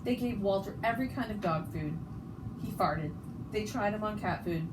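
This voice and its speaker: A woman's voice: mid-range voice, normal speed, far from the mic. Woman reading a children's story, noisy recording. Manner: expressive, stumbles over words and rereads. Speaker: storybook reader. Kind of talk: children's story read-aloud. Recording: noticeable background noise.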